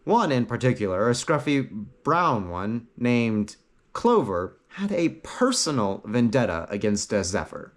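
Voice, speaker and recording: A man reading a fantasy story as a narrator, with dramatic phrasing and frequent pauses. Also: mid-range voice, sing-song. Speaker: audiobook narrator.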